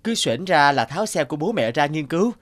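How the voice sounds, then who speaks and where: A man voicing a kind, passionate young repairman in a cartoon, courteous and calm, with a Northern Vietnamese accent. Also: fairly high pitch, quick pace. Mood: earnest. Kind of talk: animated cartoon dialogue.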